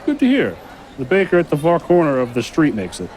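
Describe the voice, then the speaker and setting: An actor playing a friendly, chatty orange seller with a warm, teasing manner in a fantasy audio drama, a street crowd faintly behind. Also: medium pitch, wildly swooping pitch, fairly quick. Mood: cheerful.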